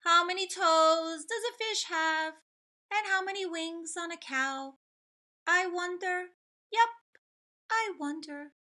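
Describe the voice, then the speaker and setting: A woman voicing an odd, loud, cheerfully oblivious penguin from a children's picture book, blaring and exuberant. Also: very high voice, slow pace, voice pushed up, full character voice. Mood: gleefully singing.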